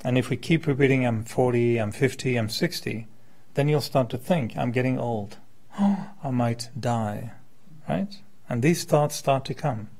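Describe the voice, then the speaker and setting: Male speaker, fifties, mid-pitched with heavy vocal fry, informal spiritual lecture, conversational and questioning. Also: measured pace, darkish tone. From born in France, settled in Quebec. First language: French. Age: 50s. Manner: conversational, illustrates with hypothetical examples and questions. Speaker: meditation teacher, speaker. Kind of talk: informal spiritual talk to a live group.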